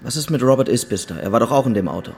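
Gravelly, insistent special investigator. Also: male voice, mid-range voice, animated, rapid-fire, a little hiss or hum. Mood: pressing. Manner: pressing, businesslike. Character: brisk, insistent male special investigator from the mainland. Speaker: actor in a radio play. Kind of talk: naturalistic German radio drama dialogue.

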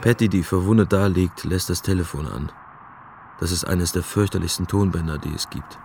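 A man's voice: deep voice, brisk. A man reading a documentary voice-over translation. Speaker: German voice-over speaker. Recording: loud noise and clicks behind.

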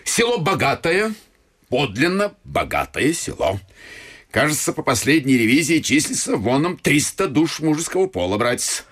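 Blunt pompous old-fashioned family friend, radio play, very lively pitch, slightly husky. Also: male voice, moderate pace, heavily acted. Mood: nosy. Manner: pompous, old-fashioned officialese, folksy asides. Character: blunt, nosy, self-important old family friend and godfather. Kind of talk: theatrical radio comedy acting.

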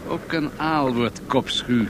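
Man acting a confident smooth tycoon, medium pitch, old noisy radio play. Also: slow pace, lightly acted. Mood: calculating. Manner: self-assured, persuasive. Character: confident, smooth-talking industrial magnate and financier. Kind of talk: Dutch radio drama acting.

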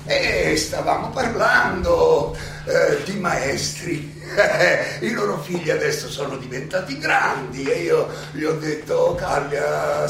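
A distant, very echoey recording with clear background noise carries an old Athenian philosopher on trial. His voice is high for a man and gravelly. He speaks in a theatrical monologue, hesitating and repeating himself with wry irony. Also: exaggerated voice. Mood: ironic, musing, then storytelling, sly. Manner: halting, hesitant, ironic, with repetitions and chuckles. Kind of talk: theatrical stage monologue, conversational oratory.

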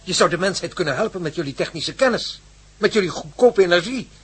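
A man playing a thoughtful, inquiring professor in a radio play, speaking in a measured way. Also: high-pitched, lively intonation, slightly rough, heavily acted. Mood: thoughtful, hopeful.

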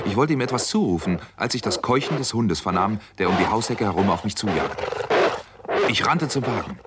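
Man as hard-boiled investigator, lowish voice, dry, terse, sardonic, sixties radio thriller, faint noise and clicks on old recording. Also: lightly acted. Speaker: first-person narrator and protagonist. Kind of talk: 1960s German radio crime drama acting and narration.

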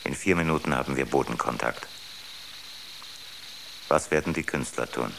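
An actor playing a coolly rational, superior ship's computer in a radio drama, speaking in a measured, pedantic, matter-of-fact way. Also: male voice, rumbling low, creaky, background noise. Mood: coolly factual.

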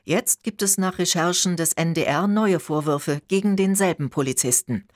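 Low-voiced German woman reading news. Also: moderate pace, no echo, clean studio sound. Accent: standard German. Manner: formal, factual delivery. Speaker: radio news anchor.

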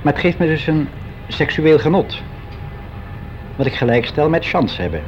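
An actor playing a frank, wistful clerk of about sixty in a radio drama monologue, speaking in a musing, halting, confiding way. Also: male voice, a little high, big pitch swings, a little hiss or hum. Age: older, about sixty. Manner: musing, halting, candid, with drawn-out phrases. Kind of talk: confessional radio drama monologue, conversational and hesitant. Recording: dull lo-fi radio play recording.

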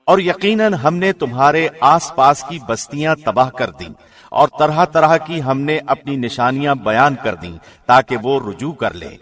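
Man, medium pitch, measured scripted reading, radio presenter delivery. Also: expressive, fairly bright tone. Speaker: translation reader, radio host.

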